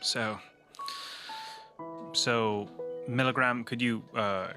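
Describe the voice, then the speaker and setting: A man's voice: mid-range voice, steady tone, very slow, over music. A man playing a tabletop game on a podcast, improvising in character and narrating with a dry, resigned delivery.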